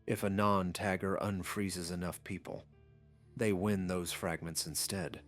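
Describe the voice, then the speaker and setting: A man's voice: sits low, fairly even intonation, a little slow, soft background music. A man voicing a gleeful, sadistic godlike game master in an anime audiobook, taunting and mockingly amused. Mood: menacing amusement.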